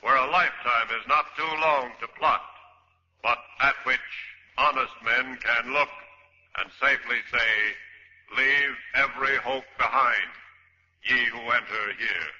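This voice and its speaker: A man's voice: mid-range voice, a little slow, pushed and bright. A man announcing in grandiose 1940s radio style, declamatory and ominous. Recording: reverberant, dull 1940s radio recording.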